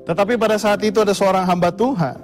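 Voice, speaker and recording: High-voiced man preaching a sermon. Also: fairly even intonation, quick pace, faint music behind. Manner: preaching to the congregation, often calls them brothers and sisters. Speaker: pastor.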